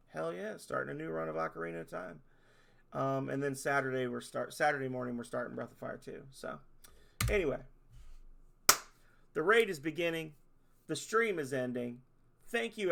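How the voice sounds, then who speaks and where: A man, a streamer closing his stream in rambling, self-deprecating casual chat, his voice hoarse as he loses it. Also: medium pitch, a bit bright.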